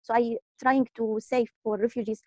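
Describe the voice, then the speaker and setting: A woman from Syria, a refugee, speaking halting at an online press conference, a little nervous. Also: fairly high pitch, flat delivery, darkish tone, no room sound, studio quality. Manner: halting non-native English, nervous. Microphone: video call.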